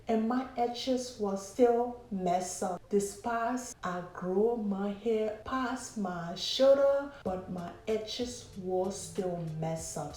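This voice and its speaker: Lady hair vlogger, easy unhurried chat, echoey room sound. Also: mid-range voice, a little mellow. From Liberia. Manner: chatty, keeps addressing viewers as guys. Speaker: natural hair vlogger and braider. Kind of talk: casual vlog chat and voiceover.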